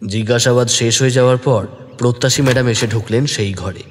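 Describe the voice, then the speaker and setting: A man narrating a detective story in the first person, expressive and deliberate, also speaking the detective's lines. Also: mid-range voice, long reverb tail, a little hiss or hum. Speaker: narrator who also voices the young detective.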